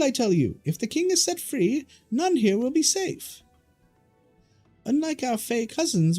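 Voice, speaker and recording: A man's voice: extremely high pitch, a little mellow, pitched above the performer's own voice, exaggerated voice. A man voicing a fretful elder of a small fey folk, in agitated, old-fashioned formal speech.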